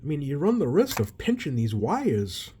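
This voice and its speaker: A man giving unscripted, hands-on repair commentary as a technician, with halting, hesitant phrasing and trailing thoughts. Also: a little high. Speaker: CB radio repair technician and channel host. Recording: steady background noise.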